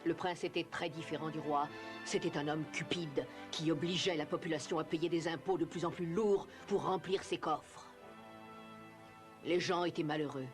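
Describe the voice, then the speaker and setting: A narrator telling a scripted children's story in an animated film. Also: medium pitch, moderate pace. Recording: clear music bed under narration. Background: music.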